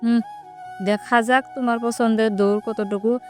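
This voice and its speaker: A woman's voice: on the high side, steady tone, moderate pace, lightly acted. A woman playing a bold, teasing young woman in love in a romantic audio drama. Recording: music bed under the voice.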